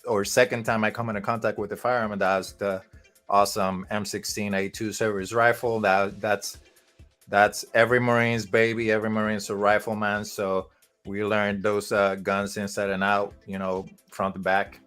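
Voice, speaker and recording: Low-voiced rambling man from Puerto Rico. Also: little pitch movement, normal speed, a bit bright, faint music behind. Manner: rambling, many fillers and restarts. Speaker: podcast guest. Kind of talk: casual storytelling.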